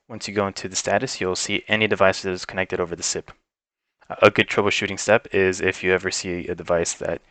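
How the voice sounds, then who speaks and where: Male tutorial narrator, deep voice, informal and explanatory, talking through software setup step by step in a dry, close recording. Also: no echo. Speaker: tech tutorial instructor. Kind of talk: step-by-step software walkthrough, unscripted.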